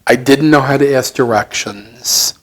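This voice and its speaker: An elderly man in his seventies speaks with a thick Brooklyn accent at a slow pace. He is an interview guest, reflective and plain-spoken, often halting as he gathers his words, with a modest, self-deprecating way of putting things. His voice sits at an average pitch. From Brooklyn, United States. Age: 70s.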